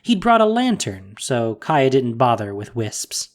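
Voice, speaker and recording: A man narrates a fantasy tale in a mid-pitched voice that rises and falls with animated expression. His reading is scripted and paced for storytelling. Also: measured pace, faint music behind.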